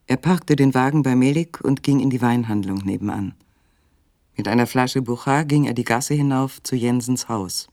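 A male actor as a detached literary narrator of a suspense radio play. His mid-range voice is measured and matter-of-fact, recorded very dry and close. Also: mid-range voice, darkish tone, no echo, lightly acted. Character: sober, detached literary storyteller of a suspense tale.

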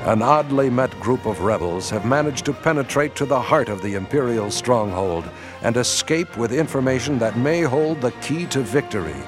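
A man's voice: medium pitch, animated, moderate pace, few pauses. A man delivering dramatic scripted narration as the narrator of a radio drama. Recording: radio drama with music behind.

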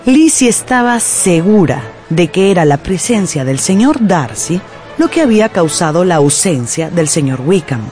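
A woman's voice: on the low side, sing-song, normal speed, mellow, soft background music. A woman narrating a radio drama as a scripted storyteller.

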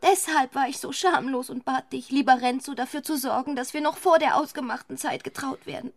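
An actress in a radio play playing a modest, anxious young bride with an earnest, tearful manner. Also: female voice, high voice, a little fast, vocal fry, no echo, studio quality. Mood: earnest, pleading. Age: young adult.

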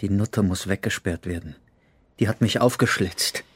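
An actor playing a thuggish, spiteful wounded Nazi tough in a German radio drama, speaking gruffly and contemptuously. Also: male voice, sits low, moderate pace. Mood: sullen, spiteful.

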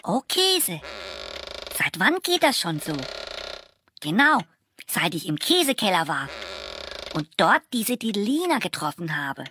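Grumbly boy mouse, very animated. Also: mid-range voice, measured pace, pauses often, slight background noise. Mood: self-pitying. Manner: muttering to himself, dramatic. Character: young boy mouse, clumsy, proud, lovesick and grumbly. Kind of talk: children's audio play acting.

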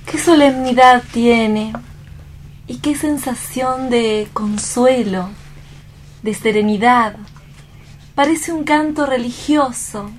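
A high, unhurried voice of a fragile young woman in radio theatre. She is weary and anxious, and her speech falters as if she is holding something back. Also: slight background noise. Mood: pensive. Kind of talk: radio theatre dramatic reading.

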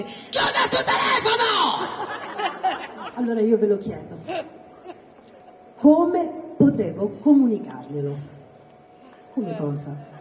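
A woman from Calabria, performing a theatrical, exclamatory stand-up monologue in a live venue. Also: high-pitched. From Calabria, Italy. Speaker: stand-up comedian, actress. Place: live venue with ambience behind. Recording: echoey hall, faint noise.

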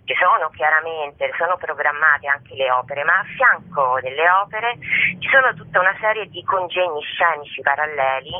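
A woman's voice: sits low, normal speed. A woman guest explains her work in a radio interview with abstract, intellectual wording. She is heard over a narrow, tinny telephone line. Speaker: festival artistic director and guest.

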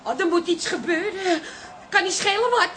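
An actress playing an anxious, loyal daughter and wife in a radio comedy drama, with a plaintive manner. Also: female voice, very high-pitched, moderate pace. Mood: panicky.

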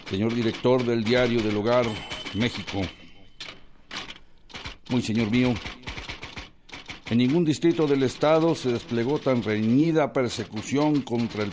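Casting: male actor as grave Mexican correspondent, mid-pitched, formal and measured, voicing a written denunciation for radio drama. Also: darkish tone, slight background noise, natural voice. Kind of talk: radio drama letter reading.

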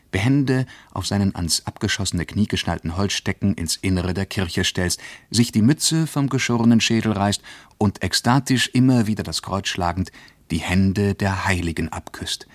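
An actor playing a solemn traveller who reads from his diary in a German radio play, deliberate and descriptive. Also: sits low, dry recording. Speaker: diary reader.

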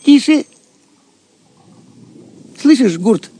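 A man's voice: extremely high pitch, expressive, extremely slow, warm tone, husky, full character voice. A man playing a cheeky, playfully pompous jester in an audio drama, with a mock-grand, teasing delivery. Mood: curious.

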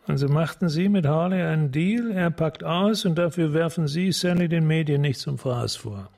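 An actor playing a cool, sharp-minded maverick police detective in a radio drama, terse and skeptical. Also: male voice, on the high side, moderate pace, vocal fry. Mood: cool, analytical.

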